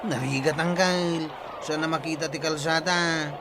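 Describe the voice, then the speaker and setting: A man voices a careful Ilocano nephew in an audio drama, slightly higher-pitched than most men. He speaks in a measured, warning way. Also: fairly even intonation, crowd noise, background noise, close to an everyday voice. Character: cautious young male nephew. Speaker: captain's nephew. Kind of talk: radio drama acting.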